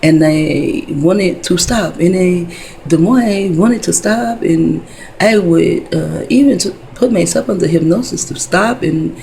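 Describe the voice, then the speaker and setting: Woman in her fifties, a dark, raspy-edged voice, for a loose, cursing, wandering vlog monologue. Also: fairly low pitch, a little slow, slight background noise. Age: 50s. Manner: wandering, heavy profanity, many fillers. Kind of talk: rambling casual monologue.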